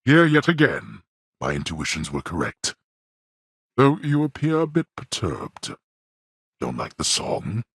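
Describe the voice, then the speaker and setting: Imperious god of the dead, game role. Husky, disdainful, formal archaic delivery, highly animated, very dry close sound. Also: male voice, unhurried, pauses often, dry recording, full character voice. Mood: dry contempt. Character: stern, imperious god of the underworld, contemptuous father. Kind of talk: theatrical video game character acting.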